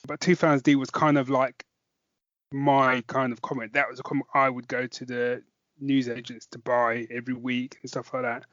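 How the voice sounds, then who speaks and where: A British man in middle age talks as a podcast co-host, informal and inclined to ramble. His pitch is mid-range. The sound is dry and close, with a slightly dull top end. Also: flat delivery, normal speed, a bit bright, no echo. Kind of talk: casual chat podcast review.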